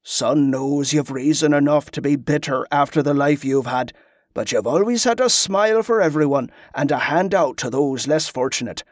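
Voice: mid-range voice, moderate pace, dry recording, clean studio sound, close to an everyday voice. A performer voicing a sternly kind, fatherly country man in an audiobook, scolding in rustic turns of phrase. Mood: gently stern.

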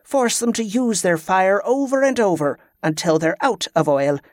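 A man's voice: high-pitched, animated, moderate pace, no echo, clean studio sound, pitched above the performer's own voice. A man voicing a stern, scornful female military commander who gives clipped orders in inverted dialect phrasing, in a fantasy audiobook. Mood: scornful, instructing.

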